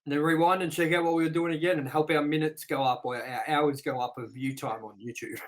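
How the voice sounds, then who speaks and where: A young man hosts a casual review podcast with crude jokes and a steady stream of swearing. His voice sits a little on the high side. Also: fast talker. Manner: crude, joking banter with frequent swearing. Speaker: podcast host.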